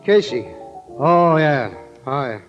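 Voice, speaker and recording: An actor playing a guarded older American horse trainer, speaking tersely in 1940s radio style. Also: male voice, fairly high pitch, lively intonation, takes it slowly. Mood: reserved. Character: guarded, gruff older horse trainer, gentle-faced man. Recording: dull vintage radio recording, faint music.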